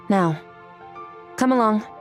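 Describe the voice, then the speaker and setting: A woman playing an aloof, powerful young warrior in an anime fantasy game, speaking in a cool, detached, haughty, matter-of-fact way. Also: medium pitch. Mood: curt, aloof.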